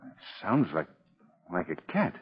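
A wry young man-about-town detective in an old radio drama. His low, urbane voice swings widely in pitch, heard through a tinny, narrow vintage recording. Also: wildly swooping pitch, unhurried, husky, full character voice. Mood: tense, cautious. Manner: dry, bantering, urbane. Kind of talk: 1930s radio drama acting.

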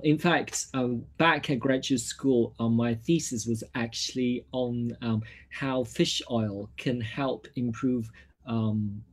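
A man's voice: medium pitch, a little mellow. A man from Hong Kong in his thirties, a nutritionist introducing himself at a launch, filling his talk with ums and restarts. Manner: hesitant, many ums and restarts. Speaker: nutritionist presenter. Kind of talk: self-introduction at a product launch.

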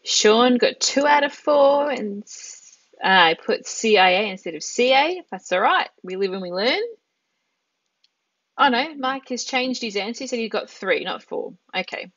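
A woman's voice: on the high side, expressive, fairly bright tone, no echo, highs cut off. Encouraging Australian woman teaching online. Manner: encouraging, chatty, drawn-out careful pronunciation. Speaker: Italian teacher. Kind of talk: live online lesson. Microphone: headset.

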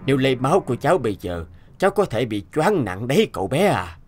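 A man voicing a serious, sympathetic middle-aged doctor in a cartoon dub, speaking in a measured manner. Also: mid-range voice, sing-song, soft background music. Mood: concerned, hesitant. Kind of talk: dubbed cartoon dialogue.

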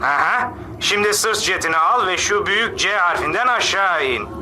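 A man voicing a brisk, bossy astronaut in a cartoon dub, giving instructions in a casual, offhand way. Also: high-pitched, animated, pushed and bright, faint music behind, heavily acted. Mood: breezy instructing. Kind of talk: animated series dub.

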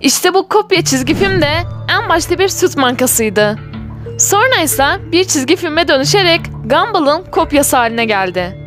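Female online video narrator, high-pitched, enthusiastic and viewer-directed, over background music. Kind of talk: scripted narration for a cartoon countdown video.

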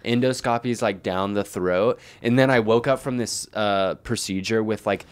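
A man's voice: lowish voice, normal speed, clear, resonant tone. A young American man chatting casually as a comedic podcast co-host, slangy and sweary, with "like" all through his speech. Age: young adult. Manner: slangy, heavy use of "like" and swearing. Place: living room indoors, by a fireplace. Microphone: handheld microphone held close.

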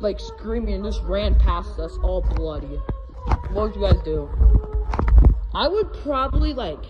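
A speaker with a Minnesota accent giving chatty, nervously excited running commentary while crawling through a narrow cave, filmed on a handheld phone. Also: mid-range voice, unhurried, over loud music. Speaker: cave explorer filming. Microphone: handheld phone camera. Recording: muffled phone audio, loud noise.

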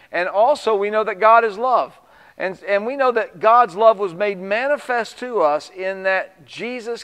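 A man in his fifties hosting a church television program, speaking in an earnest, measured way with preacherly emphasis. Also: high voice, a bit bright, clean tone, in a large hall. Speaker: host. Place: small studio set with plants.

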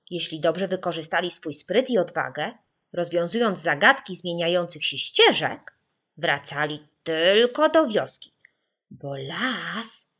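A woman voices a young girl storyteller in a children's audiobook, solemn and mysterious. The telling is slow and emphatic, in a bright, animated voice. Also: on the low side, husky, heavily acted.

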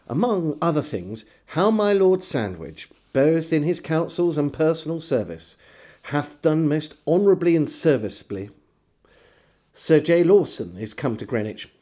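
Casting: middle-aged English male narrator, lively intonation, soft-edged mellow tone, theatrical storybook delivery of old diaries. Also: fairly high pitch. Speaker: storyteller and host. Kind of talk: dramatic literary reading of a historical diary. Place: book-lined room at home, indoors.

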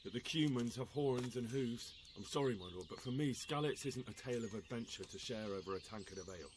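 A man's voice: medium pitch, expressive, brisk, lightly acted. A man playing an earnest, deferential young medieval commoner, plain-spoken and sombre, in a video game. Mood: sombre, pained. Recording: clear outdoor nature noise behind. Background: birdsong and outdoor ambience.

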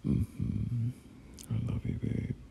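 A man playing a gentle, doting boyfriend in an ASMR roleplay, half-whispering soothing, hesitant reassurance in a breathy murmur. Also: slow pace, a little hiss or hum, lightly acted. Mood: tender, soothing. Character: gentle, doting boyfriend comforting an unwell partner.

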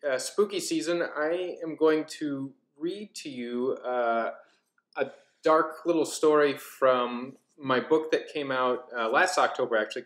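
A man's voice: on the high side, fairly bright tone. A young man with an American accent reading as an author, in storybook narration and casual introduction. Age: young adult. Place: indoor studio room with wooden floor. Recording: roomy echo.

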